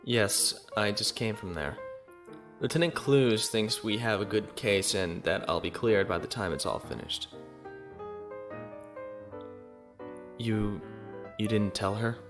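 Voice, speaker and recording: A man's voice: lowish voice, unhurried, over music, lightly acted. A man playing a dutiful, courteous young naval lieutenant in a science fiction audio drama. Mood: concerned. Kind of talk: audio drama acting, log narration.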